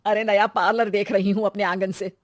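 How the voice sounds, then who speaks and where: A woman voicing a brisk, nosy older mother who speaks loudly and emphatically in a storybook style. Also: medium pitch, level tone, dry recording, studio quality, natural voice. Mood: teasing.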